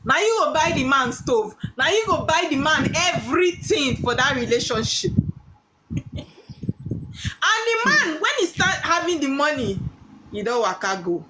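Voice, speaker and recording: A woman taking callers as a spiritual consultant, talking in an animated way and calling people darling. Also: sits high, normal speed. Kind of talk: live call-in chat. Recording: noisy line with clicks.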